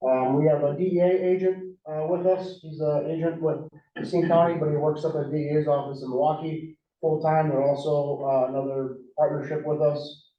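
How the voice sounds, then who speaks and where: A man from Wisconsin presenting at a public meeting as a police drug unit sergeant, earnest and halting with lots of fillers. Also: a little high, fairly even intonation. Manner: earnest, hesitant with many fillers. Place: large town meeting hall. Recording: echoey meeting hall, far mic, dull.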